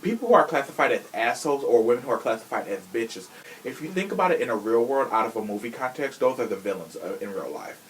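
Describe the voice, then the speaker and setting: A man's voice: medium pitch, animated, fast, slight background noise, rough recording. Sassy man chatting casually on a podcast. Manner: sassy, slangy, opinionated. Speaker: podcast host.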